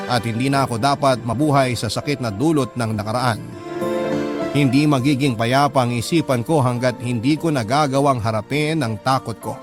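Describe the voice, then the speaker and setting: A man narrating a scripted first-person story for radio, with sentimental, dramatic delivery. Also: mid-range voice. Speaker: radio drama narrator reading a listener's letter. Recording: clear music bed under narration. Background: background music.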